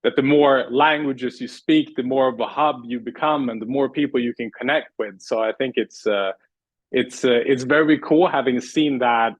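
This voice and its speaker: Brief: man, mid-pitch, earnest interview guest, dry booth-like sound. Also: normal speed, dry recording, dull audio. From Sweden. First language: Swedish. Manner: earnest, thoughtful, many restarts. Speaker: guest, language and pitch coach. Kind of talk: casual interview answers.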